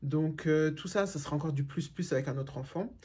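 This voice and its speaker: A woman's voice: sits low, brisk, mellow, husky. Frank chatty woman vlogger. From Germany. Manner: chatty, frank, many fillers and restarts. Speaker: YouTuber answering viewer questions. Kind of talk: casual chat.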